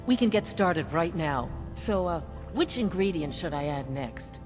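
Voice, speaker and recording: A fawning castle chef for a fantasy game. Her voice sits a little low, formal and nervous, with halting, gushing delivery. It rings in a large hall with music underneath. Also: expressive. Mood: awed, eager. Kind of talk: fantasy video game dialogue.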